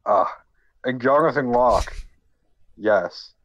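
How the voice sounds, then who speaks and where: A man's voice: medium pitch, slow pace. Sick-sounding man, slightly muffled podcast audio. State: sick with a cold. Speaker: podcast co-host. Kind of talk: informal explainer talk on history.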